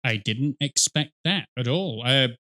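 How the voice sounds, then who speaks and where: A man's voice: medium pitch, expressive, unhurried, pushed and bright. A middle-aged man giving casual reaction commentary as a video host, speaking close to the mic.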